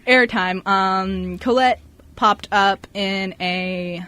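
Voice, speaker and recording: A woman's voice: medium pitch, drawn-out words, sharp tone, clear, resonant tone. A woman co-hosting a radio show in casual, unscripted chat.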